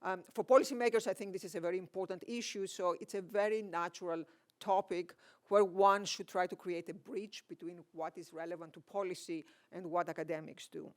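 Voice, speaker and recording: A man's voice: sits high, steady tone, fairly quick. A man with a Greek accent giving an academic keynote at a conference, with lots of fillers and restarts. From Greece. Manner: hesitant, many fillers and restarts. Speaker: keynote speaker, economist. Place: conference hall.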